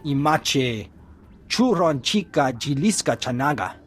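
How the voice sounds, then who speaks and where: A man voicing an excitable alien underling in a video game, husky and speaking in an invented tongue. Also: mid-range voice, measured pace, faint music behind. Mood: agitated. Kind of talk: video game creature voice acting.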